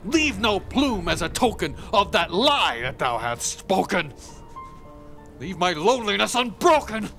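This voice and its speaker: A man's voice: high-pitched, big pitch swings, unhurried, gravelly, fairly bright tone, soft background music, voice pushed up, exaggerated voice. A man playing a tormented, grief-stricken man in a theatrical, pleading gothic poetry recitation. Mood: furious. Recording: creepy sound mix behind the voice.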